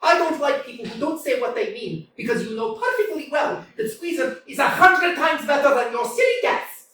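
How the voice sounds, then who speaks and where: A woman's voice: sits high, sing-song, normal speed, fairly bright tone, slight background noise. A woman voicing a headstrong, argumentative young woman in a staged play reading, teasing and stubborn, heard from a distance in an echoey hall. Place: small theatre stage.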